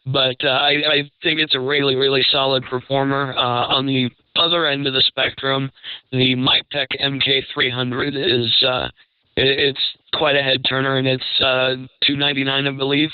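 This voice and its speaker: Dull, lo-fi sound with no room echo carries a man's mid-pitched voice. He talks as an expert panelist, casual but measured. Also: little pitch movement, smooth. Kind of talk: casual advisory talk. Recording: dull low-fidelity radio-like sound.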